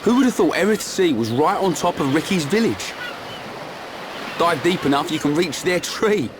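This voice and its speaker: An actor playing a brash, slow-witted but friendly young British man in a video game, talking bluntly and informally. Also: fairly high pitch, lively intonation, background noise, close to an everyday voice. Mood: blunt, teasing. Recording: clear music behind.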